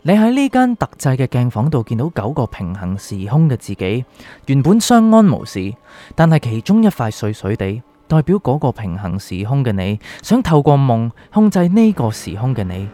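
A man acting a mellow, cryptic doctor. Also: medium pitch, huge pitch swings. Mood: cryptic, grave. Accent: Hong Kong Cantonese. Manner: measured, probing, enigmatic. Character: calm, cryptic male doctor with a secret identity. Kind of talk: Cantonese radio drama acting. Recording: music underneath.

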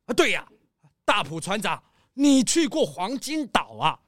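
Woman playing a slow, teasing cruise passenger. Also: mid-range voice, sing-song, fairly bright tone, no room sound, clean studio sound. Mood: doubting. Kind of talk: children's mystery audio drama.

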